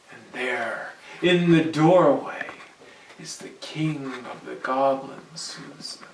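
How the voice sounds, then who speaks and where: A man reading a children's story dramatically, moving between hushed suspense and sudden swells. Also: medium pitch, vocal fry, a little hiss or hum. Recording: roomy, echoing, distant mic.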